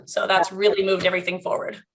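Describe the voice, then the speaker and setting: Woman, bright voice, a little hoarse, casual business chat over a video call. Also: medium pitch, little pitch movement, quick pace, a little hiss or hum. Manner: chatty, laughs often, restarts sentences. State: slightly losing her voice. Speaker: business owner, guest.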